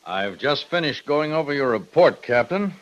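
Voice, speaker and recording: Army major male actor: American, officious and hesitant, lively inflection, medium pitch, dull old radio sound. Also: moderate pace, music in the background, natural voice. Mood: stiff, uneasy. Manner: formal, hesitant, hemming. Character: fussy, awkward army major, formal superior officer. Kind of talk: 1950s radio western acting. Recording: dull 1950s radio recording.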